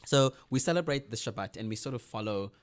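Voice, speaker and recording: Fast-talking South African man in his 40s. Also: medium pitch, animated. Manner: open, reflective storytelling. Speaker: podcast guest. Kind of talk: candid life-story interview.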